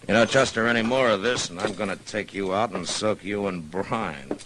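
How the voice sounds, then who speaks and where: An American man playing a gruff, steady middle-aged western marshal in a radio drama, talking in a dry, wry way. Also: on the low side, normal speed, lightly acted. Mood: wry irritation. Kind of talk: old-time radio western acting. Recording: noisy vintage radio recording.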